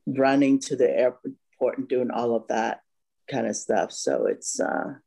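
A woman's voice: rumbling low, expressive, a little slow, creaky, mellow, breathy edge. A woman in her fifties, from Vietnam, talking casually over a laptop microphone on a video call. She speaks hesitantly, stopping often for "you know" and restarting her sentences. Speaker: guest. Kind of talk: casual interview chat. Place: home room by a bright window.

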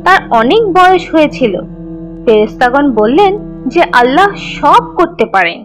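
A woman playing a kind teacher who tells religious stories to children in a measured, instructive, scripted way. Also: high-pitched, big pitch swings, soft background music, low-quality recording. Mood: instructive, gentle. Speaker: storyteller narrator teaching children.

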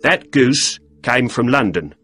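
A man voicing a grumpy, defensive male shopkeeper who snaps quick, irritable answers. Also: medium pitch, lively intonation, fairly bright tone, faint music behind, pitched above the performer's own voice.

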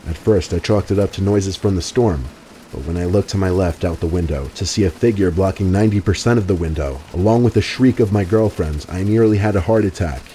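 A man narrating scripted true horror stories in a steady, even way. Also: low-pitched, a little fast, a little hiss or hum, poor audio. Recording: faint music under the voice.

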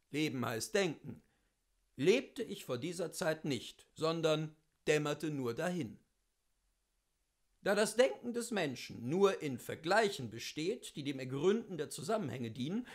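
A man reading as a witty, worldly philosopher of seventy-two, telling his memoirs in a theatrical, rhetorical and deliberate literary style. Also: high voice, heavily acted.

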